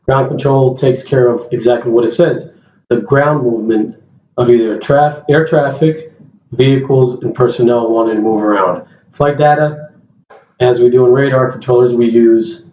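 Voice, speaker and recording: A man's voice: mid-range voice, warm tone. A man giving a plain-spoken informational presentation as an air traffic controller, addressing his listeners directly. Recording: roomy, dull lo-fi sound, faint noise.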